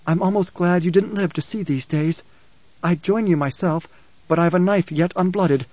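A man's voice: high voice, normal speed, a little mellow, dry recording, pitched above the performer's own voice. A man voicing a venomous young girl. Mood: brooding. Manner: cold, venomous, commanding. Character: fierce young girl with ancient memories. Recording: dull, muffled lo-fi audio.

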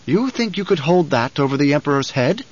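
A man's voice: a little high, expressive, a little fast. Man voicing a stern, insinuating imperial count, muffled audio. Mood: coolly threatening. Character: stern, probing male count, imperial envoy, veiled threats.

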